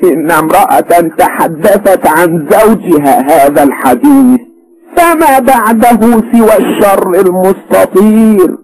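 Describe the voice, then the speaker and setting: A man voicing an anguished older mother in a high wailing falsetto, scolding and prying. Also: sing-song, slow pace, a little mellow, full character voice, rough recording. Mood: lecturing.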